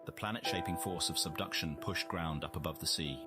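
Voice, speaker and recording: A man playing a solemn scientific observer, narrating a visual novel in a measured, formal nature documentary style. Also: sits low, over loud music. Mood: informative. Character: solemn male scientific observer narrating alien whale life.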